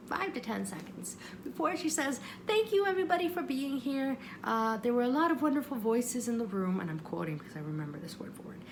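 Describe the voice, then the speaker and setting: A woman's voice: mid-range voice, gravelly. A woman telling a story in a casual, chatty vlog style, animatedly acting out what people said. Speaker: vlogger. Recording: noticeable background noise.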